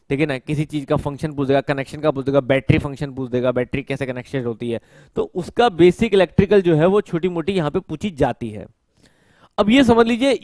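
A man in his thirties teaches at a studio whiteboard, speaking into a headset mic. His voice sits a bit high for a man, and the sound is close and dry with no room echo. He explains patiently and drags out technical terms while he writes. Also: a little mellow. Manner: explains step by step, repeats key terms slowly while writing; mixes English technical terms into Hindi speech. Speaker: exam coaching teacher. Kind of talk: whiteboard lecture.

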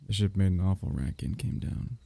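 Guy doing a low gravelly alien symbiote for asmr, husky n breathy, soft possessive coaxing. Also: animated, fast talker, dry recording, heavily acted. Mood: calm, explaining. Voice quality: husky, breathy, often dropping into whisper. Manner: soft, coaxing, intimate, reassuring. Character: possessive, soothing alien symbiote, male, semi-liquid creature. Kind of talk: ASMR roleplay.

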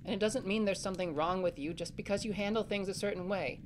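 A woman's voice: medium pitch, fast talker, slight background noise, close to an everyday voice. A woman playing a protective, caring young boy, a capable big brother, in a family audio drama, speaking in an earnest, reassuring way. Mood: reflective, earnest.

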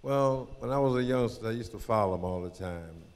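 A man in his eighties with a low voice tells his story plainly and steadily. He speaks into a handheld mic in a community hall, and a long echo hangs after his words. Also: lively intonation, a little fast, darkish tone, smooth. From New Orleans, Louisiana. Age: 80s. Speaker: panel guest, Mardi Gras Indian chief. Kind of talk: telling a personal story to an audience.

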